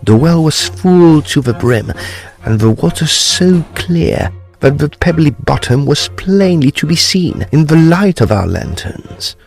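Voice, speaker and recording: A man playing a courteous, precise gentleman ghost hunter, telling his gothic tale in a formal, deliberate, expressive way. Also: a little high, gravelly, soft background music, exaggerated voice. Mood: grave, suspenseful. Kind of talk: dramatised gothic storytelling.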